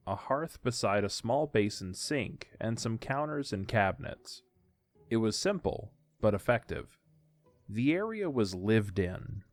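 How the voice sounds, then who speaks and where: Low-voiced male audio drama narrator.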